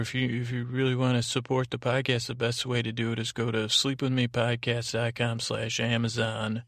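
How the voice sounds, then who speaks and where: A man with a creaky voice hosting a sleep podcast in a halting, meandering, informal monologue that often doubles back on itself. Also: medium pitch, fairly bright tone, no room sound, clean studio sound. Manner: halting, meandering, self-interrupting. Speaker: podcast host.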